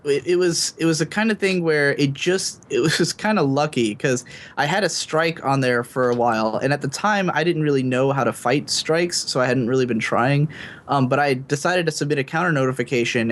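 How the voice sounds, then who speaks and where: A man in casual podcast chat, explaining things in candid, rambling detail. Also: medium pitch. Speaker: podcast guest panelist.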